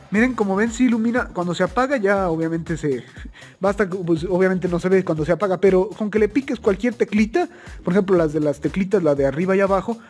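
A man's voice: high-pitched, darkish tone. A young man speaking in a casual, chatty, folksy way as he hosts a product-test vlog. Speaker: video host. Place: plain indoor room, also outdoors at night.

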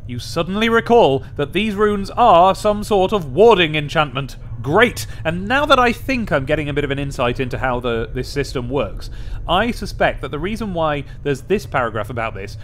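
Let's play host: young British man, higher-pitched, chatty, wry, close-miked. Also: a bit bright, a little hiss or hum. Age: young adult. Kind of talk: casual game commentary and reading aloud. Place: small room at home or office, indoors. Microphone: desk microphone with pop filter, close.

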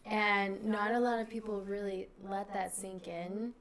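A woman's voice: medium pitch, flat delivery, measured pace, fairly bright tone, a little hiss or hum. A young woman from North Carolina hosting a casual podcast interview, affirming and upbeat in manner. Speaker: podcast host. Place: podcast studio.

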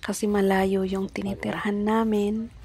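A woman's voice: medium pitch, flat delivery, moderate pace, a little mellow, a little hiss or hum. A woman with a Filipino accent, casually narrating a vlog at an outdoor camp and describing what she sees. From Philippines. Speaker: vlogger.

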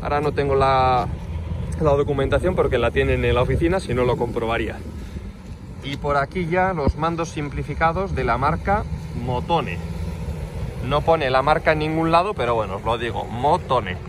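A man's voice: medium pitch, normal speed. A man from Spain with a Spanish accent, giving an informal, explanatory walkthrough as a workshop host, with chatty asides. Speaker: motorcycle workshop builder and channel host. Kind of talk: casual hands-on vlog walkthrough. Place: outdoors in a paved car park. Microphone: handheld phone held by the speaker. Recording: clear outdoor street and vehicle noise.

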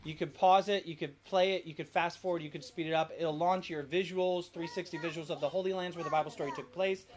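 Dad giving step-by-step directions. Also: male voice, fairly high pitch, steady tone, fast talker, few pauses. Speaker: father leading a family activity and app demonstration. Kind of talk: casual instructional family vlog. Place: family home.

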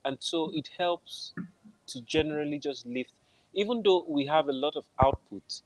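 A man's voice: a little high, moderate pace, no room sound, rough recording. A man from Nigeria with a Nigerian accent, speaking conversationally as a film composer guest on a video call. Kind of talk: conversational interview answers. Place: room at home, on a video call. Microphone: wired earphones on a video call.

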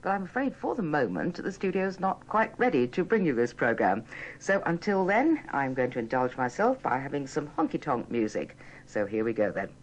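Low-voiced British woman announcer, dull recording. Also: big pitch swings, normal speed, slight background noise. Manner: polite, apologetic. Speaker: radio continuity announcer. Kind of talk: live radio presenting, ad-libbed links. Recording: dull archive radio recording.

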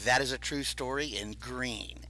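A man hosting a live toy car auction, pitching enthusiastically and telling stories about the models. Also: normal speed, sharp tone, low-quality recording. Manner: enthusiastic, storytelling sales talk. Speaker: auction host.

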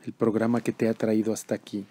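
Man, meditation guide, dark soft-edged tone, very even intonation, calm measured delivery. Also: fairly low pitch.

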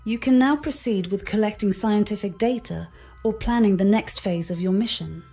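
A woman's voice: mid-range voice, dark tone, husky, soft background music. A woman's synthetic voice as an AI mission companion, giving composed, formal, informative replies. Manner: composed, measured, explanatory.

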